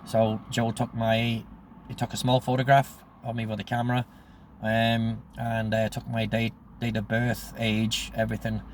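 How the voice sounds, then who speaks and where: A man's voice: lowish voice, completely flat delivery, moderate pace, slight background noise. A man of sixty with a Northern Irish accent, telling stories from his own life in a casual, rambling way and quoting remembered conversations. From Northern Ireland. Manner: rambling, acts out remembered dialogue. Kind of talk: casual anecdotal monologue.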